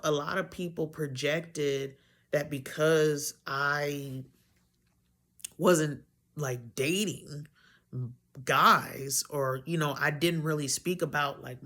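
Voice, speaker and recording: Woman, deep voice, young adult, slow, rambling, self-correcting. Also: pushed and bright. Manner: rambling, loses her train of thought, self-correcting. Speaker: vlogger. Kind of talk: candid personal talk to camera.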